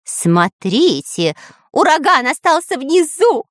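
A woman voicing an excitable, cheeky little male spy with shrill, exclamatory speech in a children's storybook. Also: a little slow, dry recording, clean studio sound. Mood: overjoyed.

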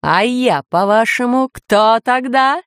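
A menacing storybook overlord, acted out by a woman, self-important and imperious. The delivery is thundering, stretched out and slow, in a close, dry recording. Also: fairly high pitch, no echo, voice pushed up. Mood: boastful. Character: booming masked villain, self-proclaimed lord of dinosaur island, grandiose, menacing.

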